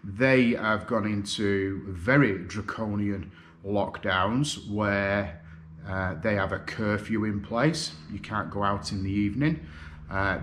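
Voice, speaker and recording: A man in his fifties with a Lancashire accent, giving a personal video statement from his living room, earnest and halting with many fillers. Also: fairly low pitch. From North West England. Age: 50s. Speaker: video contributor. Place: living room, seated on a sofa.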